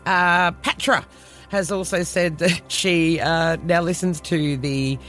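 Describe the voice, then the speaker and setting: A man's voice: fairly high pitch, fairly even intonation, takes it slowly, a bit bright, soft background music. A man from New Zealand co-hosting a casual podcast, joking around and reading listener messages aloud. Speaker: podcast co-host.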